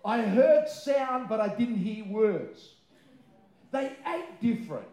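A male pastor, a second-language English speaker, preaching emphatically with stretched key words, quick informal asides and rhetorical questions. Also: high-pitched, animated, darkish tone. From born abroad, moved to Australia aged nine. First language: not English; English is a second language. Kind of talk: sermon to a live congregation. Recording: roomy, echoing live sermon sound.

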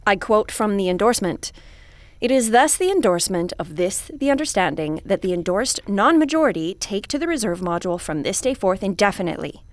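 A woman playing an officious, weary space station commander hosting a broadcast in an audio drama, speaking in a formal, measured, bureaucratic way. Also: mid-range voice, expressive, no room sound, close to an everyday voice. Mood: dry, officious. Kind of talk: audio drama acting, broadcast host address.

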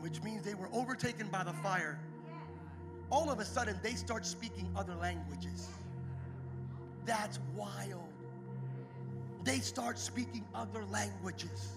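A man preaching a Pentecost sermon as pastor, moving from quiet, conversational storytelling to loud, shouted exhortation. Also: sits high. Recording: music playing behind the preaching.

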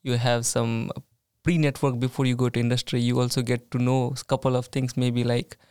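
A man hosting a casual student podcast interview, friendly and often pausing on "uh" fillers. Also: mid-range voice, little pitch movement, darkish tone, smooth, no echo, studio quality. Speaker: podcast host.

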